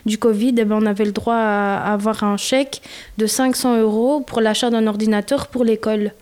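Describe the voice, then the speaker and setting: A young woman from Belgium speaks in a casual conversation, her voice fairly high but mellow and soft. She talks candidly, pausing often with fillers as she gathers her thoughts. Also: steady tone, clear, resonant tone. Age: 20s. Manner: candid, hesitant, with many fillers. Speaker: guest.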